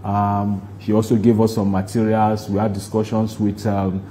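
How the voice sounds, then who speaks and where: Low-voiced Nigerian man explaining. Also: flat delivery, moderate pace, mellow, slight background noise. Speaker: guest, stage play producer. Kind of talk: TV interview answer, explanatory.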